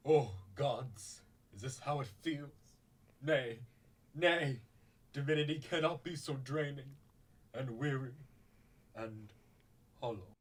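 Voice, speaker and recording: Very deep, weary bronze automaton played by a woman. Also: husky, exaggerated voice. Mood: weary, drained. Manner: halting, solemn, archaic diction. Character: weary, slow-witted towering bronze automaton guard, a monster. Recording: distant from the mic.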